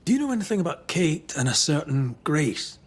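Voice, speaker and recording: An actor playing a blunt, steady ghost hunter in colonial America, wry and direct in old-fashioned phrasing. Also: male voice, a little high, a little fast, husky. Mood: businesslike. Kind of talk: dramatic game dialogue.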